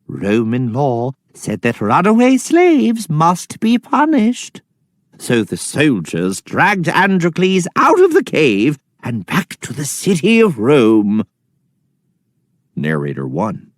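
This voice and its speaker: Slow, very animated male storyteller. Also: fairly high pitch, dry recording, clean studio sound. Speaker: narrator reading stage directions. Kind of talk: scripted narration of a children's play.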